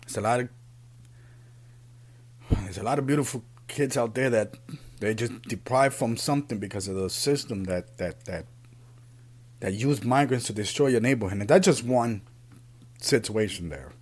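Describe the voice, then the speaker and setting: A man, born in Puerto Rico and raised in the Bronx, giving an earnest, unscripted opinion monologue as a podcast host, often halting and repeating himself. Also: medium pitch, darkish tone. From Puerto Rico, raised in the Bronx, New York City.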